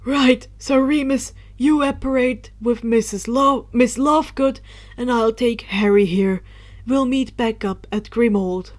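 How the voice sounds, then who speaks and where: A woman narrator plays an elderly wizard headmaster in an audiobook tale. He is stern and worried but kindly, and the voice stays on the high side. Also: a little slow, lightly acted. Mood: brisk. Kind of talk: audiobook character voice.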